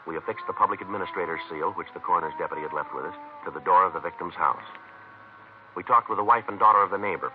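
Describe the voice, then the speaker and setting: Deep, fast, deadpan American police sergeant. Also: male voice. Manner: deadpan, terse, formal. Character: businesslike male police sergeant, dry and methodical. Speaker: police detective, lead and narrator. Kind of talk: 1950s radio acting and clipped narration. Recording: noisy vintage radio recording, narrow band.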